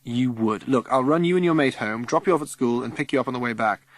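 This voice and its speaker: An actor reading a flustered, harried middle-aged father rushing to drive children home. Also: medium pitch, no room sound, lightly acted. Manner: harried, apologetic. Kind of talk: audiobook character reading.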